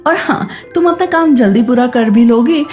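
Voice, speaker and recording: Woman playing a bossy, high-voiced young woman. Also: lively intonation, moderate pace, a little mellow, faint music behind, lightly acted. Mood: snappish. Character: bossy, greedy, sharp-tongued young woman. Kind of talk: children's moral story cartoon.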